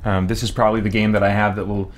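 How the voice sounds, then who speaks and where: A man's voice: fairly low pitch, flat delivery, fast talker. A man hosting a gaming livestream, talking casually and hesitantly as he thinks aloud in an unscripted ramble. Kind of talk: casual unscripted gameplay commentary. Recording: background music behind the voice. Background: music.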